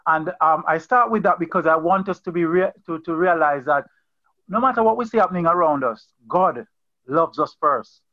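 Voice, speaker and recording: High-voiced man preaching on a call. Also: moderate pace, no echo, highs cut off. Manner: earnest, conversational, repeats phrases and restarts. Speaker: pastor leading an online Bible study. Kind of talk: informal sermon on a video call. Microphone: video call.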